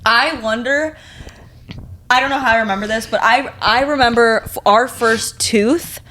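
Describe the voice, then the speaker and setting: A woman's voice: a little high, moderate pace, fairly bright tone, slight background noise. A young woman co-hosting a podcast, chatting casually and comedically with family, with playful, sweary teasing. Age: young adult. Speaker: podcast co-host.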